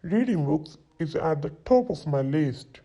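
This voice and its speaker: Man playing a young solicitor, very dark mellow tone, unhurried, repeat-after-me drill. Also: a little high, lively intonation. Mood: plain. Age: 20s. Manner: each sentence spoken twice, slowly and clearly. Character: young male London solicitor introducing himself.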